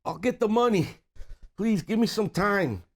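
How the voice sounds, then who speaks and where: Guy doing a desperate crook begging, really high voice, swoops around, script reading. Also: lively intonation, measured pace, full character voice. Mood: desperate, pleading. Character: pleading, desperate male debtor roughed up by mobsters. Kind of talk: table reading of a comedy script.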